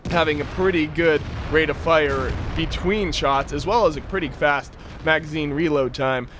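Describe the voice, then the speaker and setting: A young man casually commentating a game replay, his voice a bit raspy from just waking up, with frequent fillers. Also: on the high side, normal speed, a bit bright, slight background noise. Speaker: gameplay commentator.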